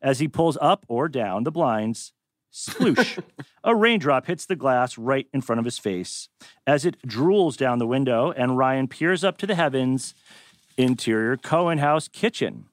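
A man's voice: medium pitch, lively intonation, unhurried, dry recording. A man hosting a live table read and narrating stage directions, tossing in wry, joking asides.

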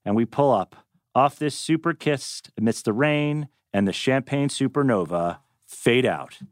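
Lively male narrator with a dry, close sound. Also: medium pitch, a little slow, dry recording. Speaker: narrator reading stage directions. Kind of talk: animated script reading.

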